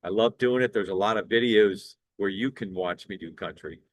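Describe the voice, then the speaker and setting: An older man from Cleveland with a bass baritone voice, pitching his work as an event singer in an upbeat, earnest way. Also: moderate pace, dry recording, low-quality recording. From Cleveland, Ohio, USA. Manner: upbeat, earnest salesman talk with small restarts. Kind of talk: promotional direct-to-camera pitch.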